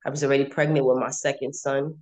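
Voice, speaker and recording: A woman's voice: very deep voice, flat delivery, fast, darkish tone. A woman in her late twenties telling her own story casually and candidly, repeating phrases for emphasis. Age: 20s.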